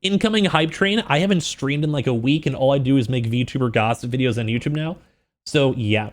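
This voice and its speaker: A man livestreaming in a casual, slangy, joking way, with an animated, incredulous manner as he reacts. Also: mid-range voice, a little fast. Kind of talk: casual livestream reaction with book read-outs.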